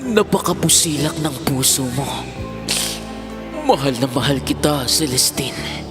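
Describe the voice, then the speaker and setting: A Filipino man playing a sorrowful, repentant imprisoned father in a drama, with halting emotional delivery over music. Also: fairly high pitch, lively intonation. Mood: remorseful, tender. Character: remorseful imprisoned husband and father, tender, sorrowful. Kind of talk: melodramatic audio drama acting.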